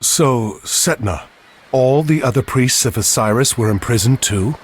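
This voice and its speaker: A man's voice: mid-range voice, measured pace, slight background noise, close to an everyday voice. An actor playing an earnest, commanding heroic admiral, a man, in a video game cutscene. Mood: defiant.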